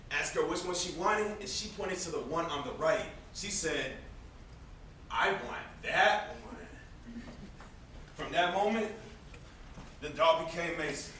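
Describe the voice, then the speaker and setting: A man's voice: a little high, bright, forceful tone, heavily acted. A man acting on stage as a teasing but protective older brother, with a sarcastic, needling, theatrical delivery. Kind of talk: amateur stage play acting. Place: indoor stage, recorded from afar. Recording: echoey stage, distant noisy camera microphone.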